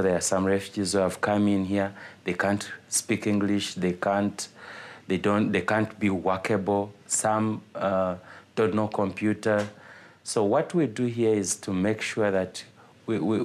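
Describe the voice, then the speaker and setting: A man explaining his organisation's work in an interview, somewhat hesitant and repeating words. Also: on the low side. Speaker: refugee organisation representative.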